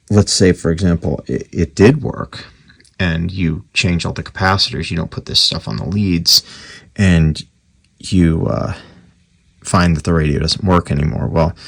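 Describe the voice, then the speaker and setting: A man's voice: low voice, vocal fry, fairly bright tone, husky. A man giving a casual, unscripted repair tutorial in a rambling, conversational, matter-of-fact way. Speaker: radio repair hobbyist presenting a tutorial. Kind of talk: casual unscripted workbench explanation.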